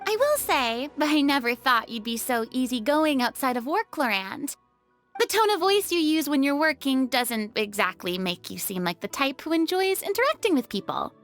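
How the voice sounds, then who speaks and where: Game casting: a cheerful, frank young companion, high animated voice, music behind. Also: female voice, moderate pace. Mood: friendly. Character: friendly, candid young woman, sociable and cheerful. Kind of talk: game voice acting.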